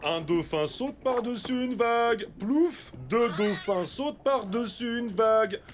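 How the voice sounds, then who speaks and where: Slow, earnest childlike pirate crewmate. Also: female voice, fairly high pitch, lively intonation, noisy background. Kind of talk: children's animated cartoon acting.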